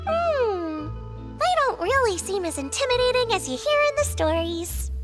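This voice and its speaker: A tiny excitable fairy companion chirps in an extremely high, squeaky, childlike voice. It swoops up and down with blunt cheer in anime game style, with faint music behind. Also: female voice, moderate pace. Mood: musing, blunt.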